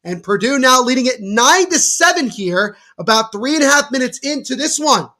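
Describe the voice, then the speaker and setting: A young man from New Jersey with a stuffy cold, giving excitable play-by-play commentary on a livestream and talking to his chat. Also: very high voice, moderate pace. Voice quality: nasal. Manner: excitable, bursts louder on big plays. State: congested from a cold.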